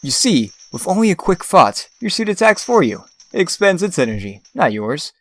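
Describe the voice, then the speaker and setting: A man's voice: sits high, very animated, normal speed, exaggerated voice. A man playing a theatrical magician and escape artist in a comic dub, lecturing in a grandiose, urbane and condescending way. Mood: instructive.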